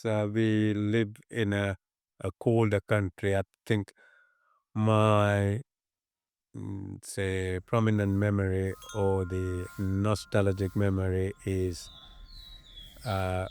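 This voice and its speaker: A man in his fifties with a Sri Lankan accent, reminiscing in an interview and often pausing mid-phrase to find his words. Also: on the low side, clean tone. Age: 50s.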